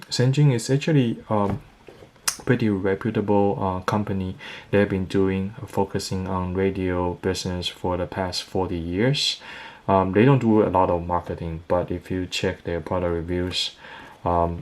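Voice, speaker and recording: Deep voiced guy doing a casual unboxing, kinda halting, bit of background noise and clicks. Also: a little mellow. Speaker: product reviewer. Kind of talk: casual unboxing and review talk.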